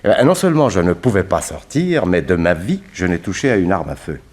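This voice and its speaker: A man's voice: low-pitched, very animated, a little fast. An actor playing a nervous, evasive man of thirty-five under suspicion, defensive and indignant, in a 1960s French radio drama.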